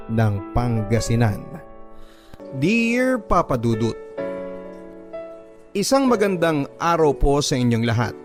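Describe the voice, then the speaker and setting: Earnest male letter reader over soft music. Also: medium pitch. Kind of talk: reading a listener's letter aloud as first-person narration.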